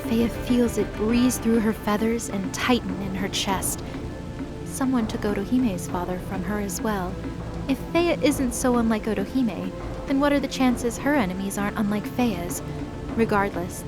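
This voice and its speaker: Woman narrating a fantasy drama over music. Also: medium pitch. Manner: steady, measured storytelling.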